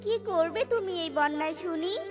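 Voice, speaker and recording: A woman's voice: extremely high pitch, normal speed, over music. Woman voicing Tuni, a fretful wife bird. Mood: worried. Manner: fretful, pleading.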